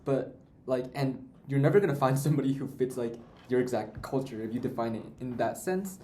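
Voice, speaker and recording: A man's voice: mid-range voice, a little fast, mellow. A young man co-hosting a student podcast, talking casually with many fillers and restarts. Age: young adult. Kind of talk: casual discussion. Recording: echoey room, faint noise.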